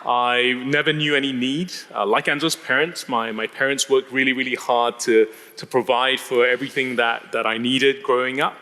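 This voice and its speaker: A man from Singapore telling his personal story as an interview guest, haltingly, repeating words and phrases. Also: mid-range voice, level tone, pushed and bright. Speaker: interview guest giving testimony. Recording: reverberant hall.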